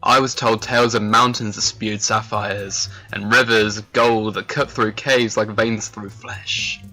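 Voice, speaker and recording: A man voicing a guarded, protective father dragon in an animated fantasy series, with a measured, dry delivery. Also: fairly low pitch, soft background music, heavily acted, low-quality recording. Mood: dry, unimpressed.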